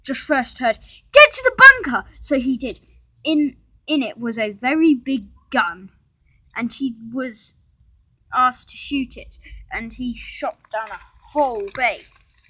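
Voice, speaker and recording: A female-sounding amateur storyteller reading a homemade story aloud, halting, with restarts and informal asides to listeners. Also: mid-range voice, a bit bright, breathy edge, no room sound, poor audio.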